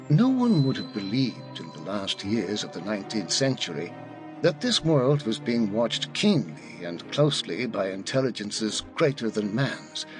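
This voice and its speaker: Man narrating with lively, swooping intonation. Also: mid-range voice, husky, no echo. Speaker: audiobook narrator. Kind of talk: scripted literary narration.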